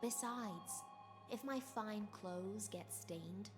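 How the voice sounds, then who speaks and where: An actress playing a poised, philosophical sea-born warrior noblewoman in a video game, speaking in formal, measured phrases. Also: medium pitch. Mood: cool, measured. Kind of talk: scripted video game voice acting. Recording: game music behind the voice.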